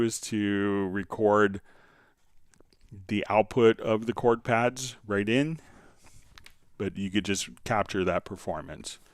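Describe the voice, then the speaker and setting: A man hosting a casual, easygoing software tutorial, explaining steps and answering viewer questions. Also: fairly low pitch, no room sound, clean studio sound. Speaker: live stream host, software instructor.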